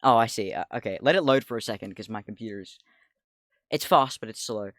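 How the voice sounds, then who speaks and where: A man in his twenties with a deep voice, chatting casually on a livestream, rambling, laughing often, swearing and getting sidetracked. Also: a little fast, fairly bright tone, no echo, clean studio sound. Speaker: streamer.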